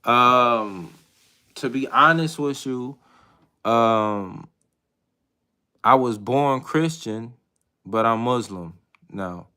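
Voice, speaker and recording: A man in his thirties hosting a casual live chat with viewers, talking in a hesitant, reflective way with many pauses and restarts. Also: medium pitch, pushed and bright, clean tone. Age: 30s. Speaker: livestream host.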